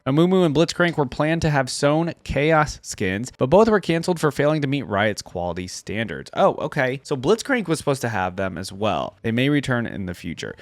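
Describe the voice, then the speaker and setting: A man's voice: mid-range voice, dry recording. Casual man commentating, close dry recording. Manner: conversational, thinking aloud as he goes. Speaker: reaction video host. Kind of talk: casual commentary mixed with reading aloud from a wiki.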